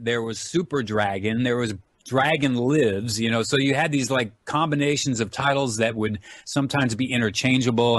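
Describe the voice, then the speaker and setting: A man giving a casual interview as a guest, explaining things in a rambling, amiable way. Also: medium pitch. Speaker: interview guest, filmmaker and author.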